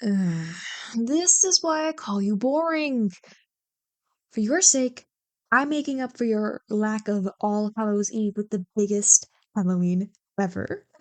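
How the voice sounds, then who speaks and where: A woman playing a playful, teasing young childhood friend who loves Halloween, in an animated, bantering ASMR roleplay. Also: mid-range voice, unhurried, lightly acted. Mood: enthusiastic, teasing. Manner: animated, bantering, mock-exasperated. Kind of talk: ASMR roleplay, one-sided scripted conversation.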